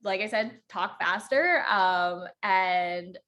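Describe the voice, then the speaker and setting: Woman podcast host, slight central Alberta accent, quick informal workshop delivery, lots of ums. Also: medium pitch, fairly bright tone. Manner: fast talker, many ums. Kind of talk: informal teaching talk.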